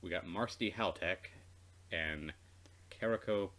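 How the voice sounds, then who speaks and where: A man's voice: sits low, a little slow, a bit bright. A man co-hosting a let's play, bantering casually and reading game text aloud in a dry, wry manner.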